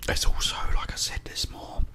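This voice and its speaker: Whispering man, casual ASMR ramble. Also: moderate pace. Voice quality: breathy whisper. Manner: casual, unscripted, meandering. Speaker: ASMR video host.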